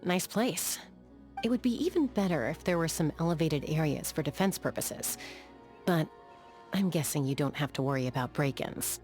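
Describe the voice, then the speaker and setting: A woman playing a calm, battle-hardened young sky gunslinger in a video game, measured, thoughtful and matter-of-fact, with a dry edge. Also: deep voice, faint music behind. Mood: cool, appraising. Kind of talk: video game character voice lines.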